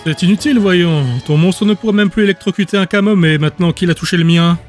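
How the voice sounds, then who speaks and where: A man's voice: sits high, fast, faint music behind, voice pushed up, close to an everyday voice. Galen, a man voicing a smug, mocking trainer. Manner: relaxed, taunting, condescending. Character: smug, cruel male trainer, calm and mocking.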